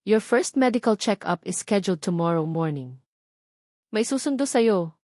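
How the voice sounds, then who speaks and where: A woman's voice: medium pitch, lively intonation, moderate pace, darkish tone, no room sound, natural voice. A stoic, commanding wealthy man, voiced by a woman in a romance audio drama. He speaks in a curt, businesslike way, recorded dry.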